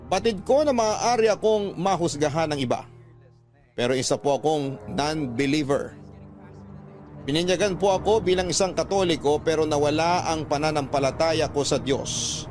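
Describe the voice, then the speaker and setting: A man's voice: a little high, normal speed, a little mellow. A man reading a listener's confession as scripted narration for a radio drama storytelling show. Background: faint music.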